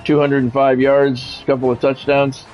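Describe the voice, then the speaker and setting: A man's voice: medium pitch, completely flat delivery, moderate pace, darkish tone, soft background music, poor audio. A man doing casual sports game commentary, drifting between announcer-style play calls and conversational musing.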